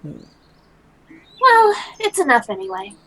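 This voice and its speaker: A woman's voice: a little high, sing-song, very slow, sharp tone, full character voice. A woman voicing friendly, polite young girls at a summer camp in a visual novel. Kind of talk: visual novel character reading. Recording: music behind the voice.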